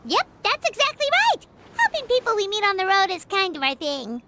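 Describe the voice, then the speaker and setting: A woman voicing a tiny, cheerful, childlike fairy companion with a squeaky, perky voice in an English game dub. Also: wildly swooping pitch, a little fast, very noisy, heavily acted. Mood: upbeat, determined. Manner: chirpy, perky, emphatic. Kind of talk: English game dub, cartoonish fantasy acting.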